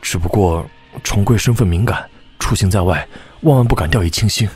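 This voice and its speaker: An actor playing a scheming young aristocrat in a Chinese martial-arts audio drama, formal, calculating and ingratiating. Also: male voice, on the low side, a little fast, darkish tone, breathy edge, soft background music. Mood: ingratiating, anxious.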